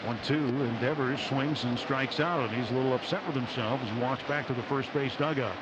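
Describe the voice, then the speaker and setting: A man calling baseball play-by-play on the radio in a measured, descriptive way, pausing between short phrases. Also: medium pitch, very noisy. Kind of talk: live radio sports commentary. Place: outdoor ballpark broadcast booth. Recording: dull radio broadcast, loud stadium ambience. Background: loud outdoor ballpark ambience.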